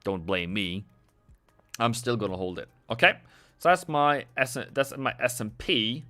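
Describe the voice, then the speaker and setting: Man, casual livestream host, jokey and sarcastic, crisp bright voice. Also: mid-range voice, a little slow, soft background music. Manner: chatty, sarcastic, jokey with viewers.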